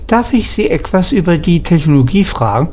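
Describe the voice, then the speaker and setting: Low, mellow-voiced woman playing a game. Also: very animated, fairly quick. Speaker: game streamer. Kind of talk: casual gameplay commentary, reading and translating dialogue aloud. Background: game music.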